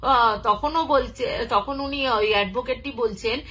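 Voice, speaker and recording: High, bright-voiced woman telling a story. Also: poor audio. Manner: halting and conversational, acts out quoted dialogue. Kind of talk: informal, unscripted spoken storytelling.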